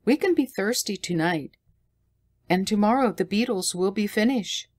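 A reader voicing a calm young woman who speaks softly and quietly in an audiobook. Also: medium pitch, moderate pace, no room sound, clean studio sound, pitched above the performer's own voice, close to an everyday voice. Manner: soft, quiet. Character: soft-spoken young woman, calm and certain.

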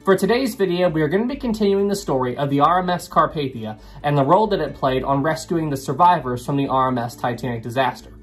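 A young man in his twenties, his voice a little rough from recovering from a cold, telling a history story to camera from a small home room. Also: a little high, animated, quick pace, few pauses, soft background music. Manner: earnest, repeats names often. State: slightly rough voice from recovering illness. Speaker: history channel host. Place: small home room with wood paneling and ship models.

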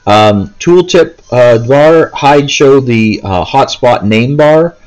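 A man's voice: mid-range voice, expressive, slow pace, a little mellow, low-quality recording. A man giving a casual, unscripted gameplay walkthrough in a hesitant, rambling way, often stopping mid-thought. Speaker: gaming video host. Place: indoors, seated, handheld console in hands.

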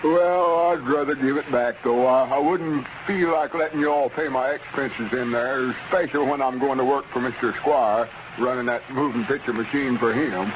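A man's voice: medium pitch, normal speed, few pauses, close to an everyday voice. Man playing a bashful Southern country fellow, muffled sound. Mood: sheepish. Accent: rural Southern US. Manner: hesitant, plain-spoken. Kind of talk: 1930s radio comedy acting. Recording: muffled, noisy old radio recording.

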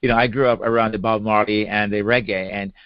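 A man's voice: lowish voice, steady tone, fast, smooth, dry recording. A man with a Jamaican accent co-hosting a podcast in casual chat, often repeating a word as he gets going. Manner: conversational, repeats words as he starts phrases. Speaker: podcast co-host. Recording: dry, slightly dull podcast audio.

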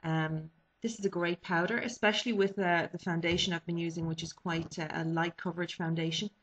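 A woman's voice: lowish voice, fairly even intonation, fairly quick. A woman, chatting casually about makeup she has been using, a little sniffly from a cold, with many ums and restarts. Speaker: beauty vlogger. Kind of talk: casual product review. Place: small bedroom indoors. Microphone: webcam built-in mic. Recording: dull lo-fi webcam audio.

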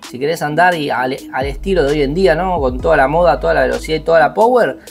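A man's voice: on the high side, fast talker, vocal fry, soft background music. A young man with an Argentine accent, talking casually and bluntly as he browses listings from a home desk. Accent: Argentine Spanish. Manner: chatty, blunt, uses slang. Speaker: motorcycle vlogger. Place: small home office at a desk.

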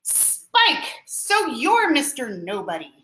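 An eager young male cat who fancies himself a secret agent, as a woman reads him aloud. He talks in a dramatic, self-important way with a bright, bouncy voice that swings up and down. The reading sounds roomy. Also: high-pitched, huge pitch swings, slow, a little hiss or hum, full character voice. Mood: suspicious.

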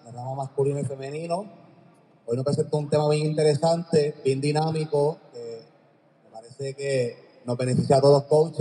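A man's voice: fairly high pitch, dark tone. A man hosting an event, announcing a speaker over a microphone in a large indoor arena. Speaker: host introducing a speaker. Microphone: handheld microphone through a PA, far camera. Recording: long echo of a large gymnasium.

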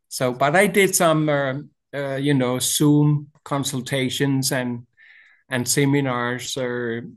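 An older man from Denmark with a Danish accent, speaking English as his second language on a video call, halting with many restarts and throat clearing. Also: medium pitch. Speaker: author and voice teacher. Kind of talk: interview over video call. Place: small room indoors. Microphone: video call webcam.

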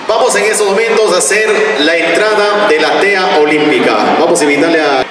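A man's voice: a little high, a little fast. An Ecuadorian man acting as event announcer, introducing parts of a ceremony. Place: covered outdoor sports court. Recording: echoey distant PA with crowd.

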